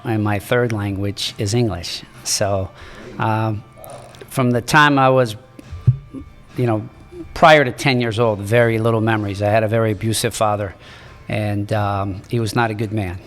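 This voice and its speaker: A man in his sixties from Morocco, a native French speaker, telling his life story as a podcast guest in a halting, earnest way. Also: fairly low pitch, fairly even intonation, fairly bright tone, big-room sound, faint music behind. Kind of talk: reflective storytelling in an interview.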